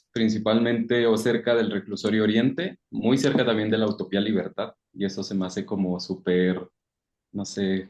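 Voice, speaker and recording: Low-voiced person on a video call, laid back, laughs a lot. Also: male voice, a little mellow, lo-fi sound. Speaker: workshop participant. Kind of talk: casual self-introduction.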